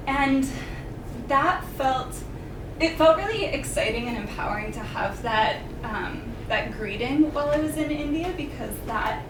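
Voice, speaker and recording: High-voiced young woman presenting, echoey room. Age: young adult. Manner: projecting to the back of a room. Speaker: graduate student presenter. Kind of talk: informal academic presentation to a room. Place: indoor presentation room, speaking from the front. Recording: echoey room, distant mic, noisy.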